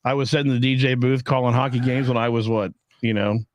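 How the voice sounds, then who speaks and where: A man co-hosting a casual livestream chat, joking and teasing with quick asides. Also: mid-range voice, steady tone, dull audio, slight background noise. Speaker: podcast co-host.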